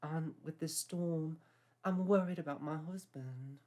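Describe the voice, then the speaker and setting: Guy putting on a high fussy posh old lady, prim and fretting, kinda distant. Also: unhurried, dark tone, lightly acted. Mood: fretful. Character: posh older British woman doctor, fretful.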